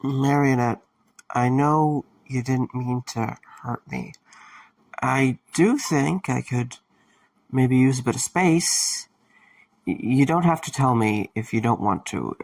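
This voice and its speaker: A man playing a stubborn, wary but caring young man in a fan audio drama, with a husky, gravelly voice. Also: medium pitch, slow pace, darkish tone, no echo. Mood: wary, gentle.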